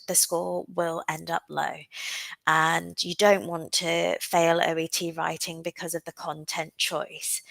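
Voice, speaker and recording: A woman with a cold leads a live online exam-prep lesson, her lowish voice gravelly and a little rough. She talks in an unhurried, explanatory way, pausing often on "um". The sound is dry and close, with no room around it. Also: fairly bright tone, dry recording, rough recording. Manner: frequent "um" fillers, unhurried and explanatory. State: has a cold. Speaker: OET teacher.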